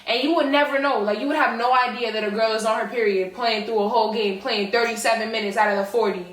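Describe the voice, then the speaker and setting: A woman co-hosting a podcast, talking in an animated, opinionated way and mocking men with put-on voices. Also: on the high side, quick pace. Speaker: podcast co-host. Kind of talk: casual opinionated chat. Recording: echoey room, far from mic, faint music.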